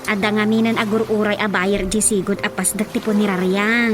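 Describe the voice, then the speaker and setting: A woman's voice: medium pitch, flat delivery, a little fast, natural voice. An actress playing a practical village woman with a Filipino accent in a radio drama. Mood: persuasive. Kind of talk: Ilocano radio drama acting. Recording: radio drama with music underneath.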